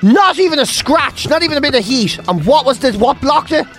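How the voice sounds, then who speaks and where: A radio co-host whose voice sits high and swings all over the place. He tells a rambling comic story at a studio mic and checks in with his partner with "right?". Faint music plays behind him. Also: lively intonation, a little fast, a bit bright. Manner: joking storyteller, tags lines with "right?". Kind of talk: casual chat. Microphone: studio microphone.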